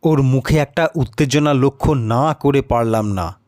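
A man giving a deliberate, dramatic first-person narration of a story. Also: medium pitch, a little mellow, no echo, studio quality.

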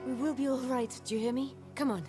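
Tender noble mother voiced by a woman, soft and urgent, heavy score. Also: high-pitched, steady tone, fast, natural voice. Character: tender noble mother sending her children away. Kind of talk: video game cutscene acting. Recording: game audio over loud music.

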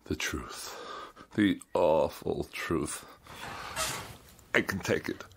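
A man's voice: rumbling low, drawn-out words, creaky, slight background noise, heavily acted. A man acting in a radio drama as a querulous, self-pitying hypochondriac patient, demanding, indignant and melodramatic. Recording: music behind the voice.

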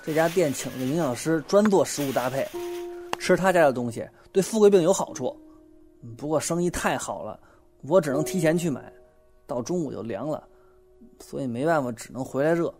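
A man voice-acting an impulsive, soft-hearted young bookstore boss in a Chinese audio drama, exclaiming and animated. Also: fairly high pitch, fairly quick, soft background music. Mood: explaining. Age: young adult. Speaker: voice actor.

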